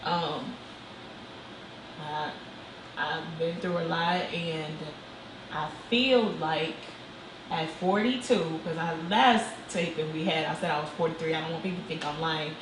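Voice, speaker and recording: A woman's voice: low voice, steady tone, a little slow. A woman in her forties, a guest in a casual talk-show chat, speaking earnestly and reflectively and laughing easily. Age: 40s. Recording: echoey room with background noise.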